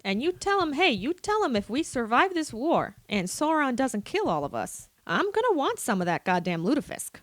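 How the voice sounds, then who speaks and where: A woman's voice: high voice, very animated, normal speed, few pauses, no room sound. A woman playing a folksy, blunt elf lady with an exaggerated Minnesotan accent in a comedy radio drama. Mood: indignant.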